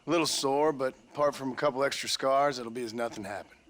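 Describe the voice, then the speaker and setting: A man playing a reserved, courteous cowboy gunslinger in a Western video game, with a rural American West accent and a dry, deadpan manner. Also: mid-range voice, quick pace, slight background noise, natural voice. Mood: guarded, polite. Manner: laconic, polite, deadpan.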